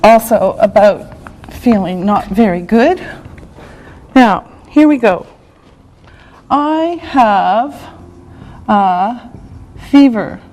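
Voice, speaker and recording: A woman teaching a classroom vocabulary lesson, saying each phrase slowly and repeating it. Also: mid-range voice, lively intonation, darkish tone, rough recording. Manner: slow, drawn-out repetition of words. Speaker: English teacher.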